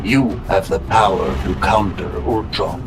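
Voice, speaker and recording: A man's voice: sits low, unhurried, over music. A man voicing an imperious, grandiose villain mastermind in an audio drama, with pompous, clipped, theatrical delivery. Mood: commanding.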